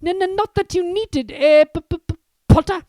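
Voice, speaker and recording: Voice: extremely high pitch, warm tone, dry recording, clean studio sound, pitched above the performer's own voice. A reader voicing a pale, nervous young male professor who stammers over nearly every word. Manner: stammering, halting, jittery.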